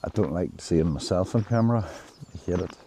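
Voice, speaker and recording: A man's voice: low voice, normal speed, dark tone, slight background noise. A man, rambling and hesitant with many restarts, talking to camera while walking outdoors. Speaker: travel vlogger. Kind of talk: rambling casual vlog. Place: outdoors in nature on a walk. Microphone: handheld camera while walking.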